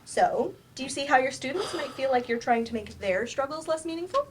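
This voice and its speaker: A woman's voice: sits high, lively intonation, a little fast, slight background noise. A woman in a staged play reading, playing a firm, probing therapist with a direct, dry manner. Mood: probing, coaxing. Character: blunt, probing female therapist, patient but firm.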